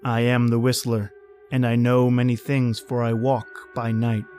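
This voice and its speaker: Male actor as sinister omniscient narrator, old-time radio horror, medium pitch, music underneath. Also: completely flat delivery, a little slow, dark tone, natural voice. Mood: ominous. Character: the Whistler, sinister all-knowing male narrator of dark tales.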